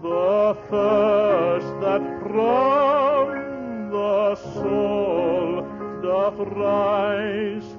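Woman singer, very slow classical song, lowish voice, loud music, dull vintage recording. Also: fairly even intonation.

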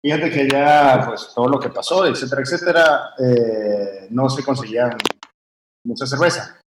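A man's voice: medium pitch, normal speed. Rambling Venezuelan-born man in echoey room. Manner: rambling, many fillers and restarts. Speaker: guest brewer. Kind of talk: conversational interview. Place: warm room with fans running. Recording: echoey room, faint background hum.

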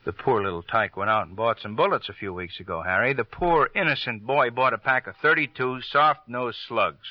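A man's voice: mid-range voice, normal speed, hardly any pauses, no echo, lightly acted. A man acting a sardonic, needling police detective in a 1950s radio crime drama. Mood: sarcastic, pressing. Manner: sarcastic, insinuating. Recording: dull old radio recording.

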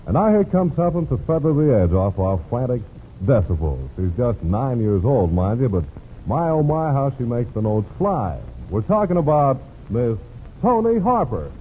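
A man's voice: mid-range voice, big pitch swings, moderate pace, phone-quality sound. A man hosting an old swing radio show, announcing with scripted, showy, jive-talking patter. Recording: noisy band-limited old radio broadcast.

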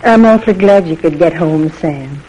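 A middle-aged woman playing a tender, doting mother in an old radio drama, with a soothing, gentle manner. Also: deep voice, moderate pace, natural voice, poor audio. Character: tender, doting mother of a grown soldier son. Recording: old radio recording, faint noise.

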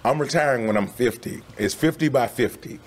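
A man's voice: mid-range voice, a little fast. A forty-year-old man hosting a casual interview with slangy, teasing banter. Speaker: podcast host.

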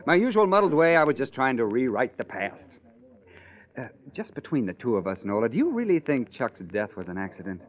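A man's voice: mid-range voice, sing-song, a little fast, natural voice. A man playing a wry, suspicious newspaper rewrite man in an old radio drama, teasing and insinuating as he talks. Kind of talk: 1940s-50s radio drama acting. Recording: old, narrow-band radio recording.

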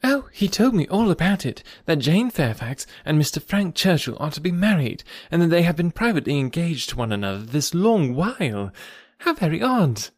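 An eager, naive young woman, acted out by a male narrator in a classic novel reading. The voice is high and swoops excitedly, gushing with breathless indignation. The sound is close and dry. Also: moderate pace, no room sound. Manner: gushing and emphatic. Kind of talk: classic novel audiobook character dialogue.

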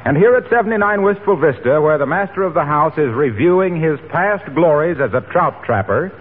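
Vintage American male announcer, polished pitchman, lively highish voice on a tinny radio recording. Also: a little fast, slightly rough. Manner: polished, salesmanlike. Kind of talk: 1940s radio announcing, scripted.

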